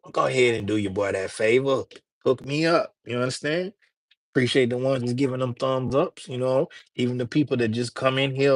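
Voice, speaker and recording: A man's voice: mid-range voice, no echo. Slangy man chatting on a livestream. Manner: loose, slangy, talks straight to chat. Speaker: livestream host. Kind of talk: casual livestream chat with viewers.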